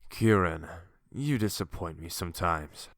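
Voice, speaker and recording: A man playing a stern, commanding cloaked mayor in a fantasy game audiobook, speaking in measured phrases. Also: sits low, animated, fairly bright tone, no room sound, exaggerated voice. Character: cloaked, masked town mayor, stern and somewhat hostile tank. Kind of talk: audiobook character acting.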